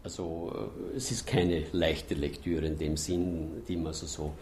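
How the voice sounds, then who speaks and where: A man with an Austrian accent talks in a very low, dark voice. The room echoes around him with a faint ambient noise as he gives casual interview answers. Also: fairly even intonation, a little slow.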